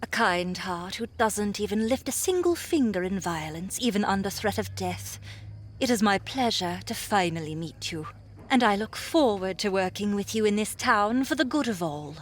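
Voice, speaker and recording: A woman's voice: medium pitch, lively intonation, moderate pace, slight background noise, close to an everyday voice. Woman playing a sweet, demure fantasy princess. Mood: warm, formal. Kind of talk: audiobook character acting.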